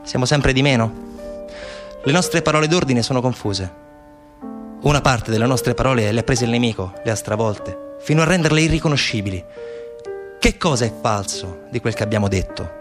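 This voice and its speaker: A man giving a theatrical monologue as a stage narrator, measured, with deliberate pauses and repetitions. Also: mid-range voice, lively intonation. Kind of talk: theatrical monologue, storytelling and recitation. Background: instrumental music.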